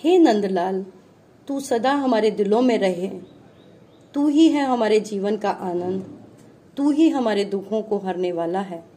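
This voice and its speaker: A woman's voice: medium pitch, lively intonation, unhurried, warm tone, slightly rough. A woman narrating a scripted children's story as the narrator of a puppet show.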